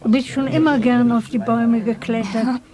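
An actress playing a gruff but fond old village grandmother in a radio play, scolding and plain-spoken. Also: fairly high pitch, fairly even intonation, normal speed, crowd noise, close to an everyday voice. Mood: soothing. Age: older. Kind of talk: German radio play acting.

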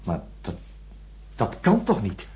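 An earnest, troubled investigating doctor in a radio play. He speaks formally and deliberately with lively, wide-moving intonation, over some music. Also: fairly low pitch, husky, heavily acted. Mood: dismayed. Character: earnest, troubled male doctor investigating astronauts, indignant when challenged. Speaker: radio drama actor and narrator. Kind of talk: radio play acting with first-person narration.

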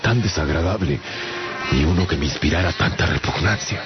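A male actor playing an afflicted castaway traveler who tells his story earnestly and dramatically in a gravelly voice, in a radio drama. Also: sits low, a little fast, lightly acted. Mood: tense, recounting. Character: afflicted English castaway man recounting his strange voyages. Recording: dull sound under prominent music. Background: music.

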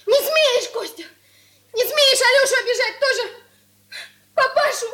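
A woman's voice: very high-pitched, a little slow, fairly bright tone, roomy sound. An actress playing an outraged young girl in a radio drama, exclaiming shrilly. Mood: outraged, accusing. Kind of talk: 1980s Soviet radio play acting.

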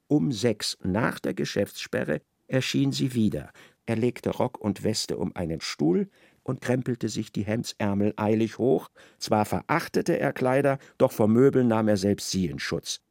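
A man narrating a literary radio play in a dramatic, expressive, scripted style. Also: mid-range voice, measured pace, vocal fry, no room sound, studio quality.

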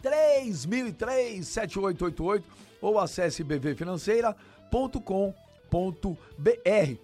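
High-pitched man reading a radio ad. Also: lively intonation, measured pace, mellow. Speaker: advertising announcer. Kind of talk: scripted radio ad read. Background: music bed.

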